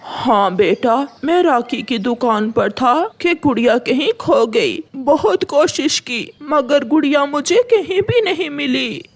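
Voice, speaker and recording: Woman as mournful, slow-talking cartoon father bird. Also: high voice, lively intonation, voice pushed down, exaggerated voice. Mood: troubled. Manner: mournful, halting. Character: sorrowful, helpless father bird.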